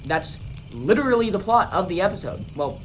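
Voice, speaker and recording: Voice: medium pitch, sing-song, fairly quick, close to an everyday voice. A performer playing a blunt, exasperated young man in an amateur radio play. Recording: clearly noisy home recording.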